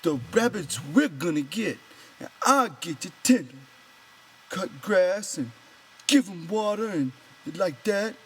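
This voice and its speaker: A man voicing a simple-minded, trusting and stubborn grown man in an audiobook, with childlike, halting phrasing. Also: sits high, animated, a bit bright, husky, pitched above the performer's own voice, exaggerated voice. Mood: eager. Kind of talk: character voice in an audiobook reading.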